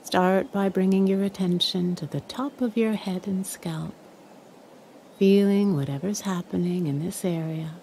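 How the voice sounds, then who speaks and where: A woman leading a guided meditation in a calm, soothing, measured way, leaving long pauses. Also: fairly low pitch, creaky, a little hiss or hum.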